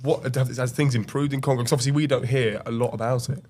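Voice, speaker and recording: Fast-talking male podcast host. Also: medium pitch, steady tone, darkish tone, breathy edge. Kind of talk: casual podcast chat.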